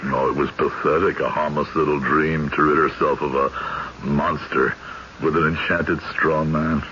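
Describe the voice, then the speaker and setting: Radio drama role: humane, earnest judge, very low voice with a forceful edge, muffled vintage recording. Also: male voice, little pitch movement, a little slow, a little hiss or hum, full character voice. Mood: compassionate. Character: male Virginia judge, earnest, humane, easily astonished storyteller. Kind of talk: old-time radio drama narration and dialogue.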